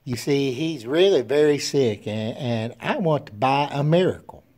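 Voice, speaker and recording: A man's voice: medium pitch, expressive, moderate pace, a little mellow, natural voice. A man voicing a small, earnest little girl who pleads and stammers. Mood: pleading.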